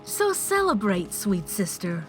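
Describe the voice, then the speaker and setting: A woman's voice: medium pitch, very animated, unhurried, low-quality recording. A woman acts out a wicked changeling queen in her late teens, haughty and theatrical. She takes her time over each gloating, condescending line of this audio drama, with music playing behind her.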